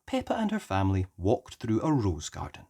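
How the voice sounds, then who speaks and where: A woman's voice: a bass voice, huge pitch swings, a little fast, dark tone, husky. A woman narrating a children's story read aloud, as a storybook reader. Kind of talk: animated scripted storybook narration.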